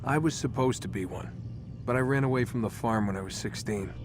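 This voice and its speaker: A man's voice: medium pitch, fairly quick, lightly acted. An actor playing a guarded, wry man in his twenties, casual and laconic, in a video game cutscene. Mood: wry, reflective. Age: 20s. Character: guarded, wry young man held captive, casual ex-assassin. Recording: music behind the voice.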